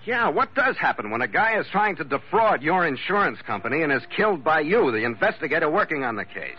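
An American man playing a tough, steady sea captain, narrating in clipped, hard-boiled 1940s radio adventure style. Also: a little high, fairly quick, husky. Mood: wry. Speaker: lead actor and narrator of radio series. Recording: muffled vintage radio with loud music.

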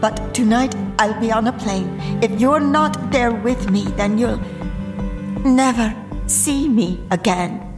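An actress playing a crime boss's worried but resolute wife in an audio drama, speaking firmly. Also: female voice, a little high, takes it slowly. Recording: long reverb, music behind.

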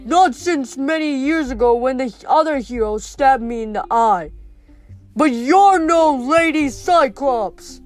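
A woman voicing a huge, dim-witted male Cyclops monster for a storybook, hungry and menacing, bellowing slowly in broken grammar. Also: high voice, expressive, soft background music, pitched above the performer's own voice. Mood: angry growling.

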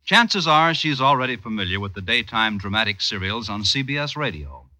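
A man's voice: mid-range voice, pushed and bright, dry recording, rough recording. A man announcing in a polished, formal 1950s radio style, reading scripted promotional copy.

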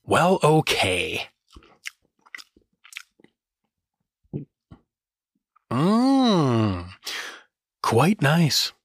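A man's voice: fairly high pitch, wildly swooping pitch, drawn-out words, no room sound, full character voice. An actor playing a hapless, sarcastic everyman hero in a comedic fantasy audio drama, joking and hesitant.

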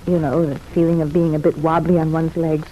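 A woman's voice: low voice, little pitch movement, fairly quick, mellow, natural voice. An actress playing a cultivated, self-examining young woman convalescing in a sanitarium, speaking formal, articulate lines in an old radio soap opera. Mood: earnest, reflective. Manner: formal, articulate, hesitant phrasing. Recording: muffled, noisy old radio recording.

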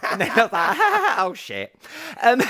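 A man hosting a podcast, telling stories in a camp, animated way with frequent laughs and swearing. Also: very high voice. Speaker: podcast host. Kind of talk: casual chat.

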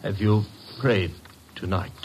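An actor playing a frail, nervous murder suspect in an old radio drama, speaking hesitantly and in a subdued way. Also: male voice, fairly low pitch, fairly even intonation, slow pace, a little mellow, highs cut off, a little hiss or hum. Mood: cornered, subdued. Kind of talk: 1950s radio drama acting.

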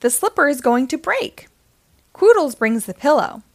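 A woman reading a story aloud to young children in an expressive, deliberate way with simple phrasing. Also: sits high.

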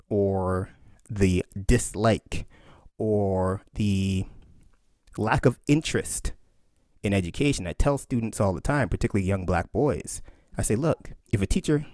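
A man in his thirties, an author answering interview questions in an animated way, laughing often and acting out quotes. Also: fairly low pitch, dry recording, clean studio sound. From Canada. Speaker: author and historian.

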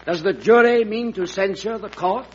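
An actor playing a formal, insistent defense attorney in a classic radio drama, speaking in a declamatory way. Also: male voice, high voice, normal speed, lo-fi sound, natural voice. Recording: noisy, band-limited old radio recording.

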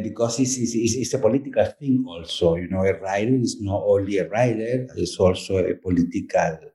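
Low-ish chilean guy, spanish accent, keeps pausing for words, "you know what i mean". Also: darkish tone, low-quality recording. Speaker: author and performer, guest. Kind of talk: podcast interview.

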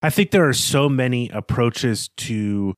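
A man's voice: medium pitch, expressive, unhurried, no room sound, studio quality. A man co-hosting a podcast, casually analysing a book and thinking aloud as he goes. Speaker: podcast host.

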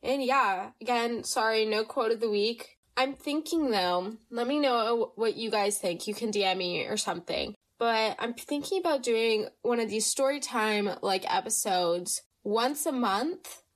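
A woman's voice: fairly high pitch, unhurried, few pauses. A young woman hosting a casual storytime podcast, chatty and rambling, sounding a little nasal from allergies. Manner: bubbly, chatty, rambling with fillers. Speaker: podcast host.

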